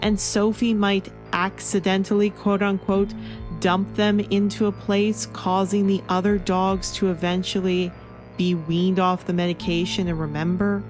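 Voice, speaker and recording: A woman's voice: mid-range voice, flat delivery, unhurried, music in the background. A woman hosting an opinionated, scripted review, stressing and drawing out key words for emphasis. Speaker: comic book reviewer and video host.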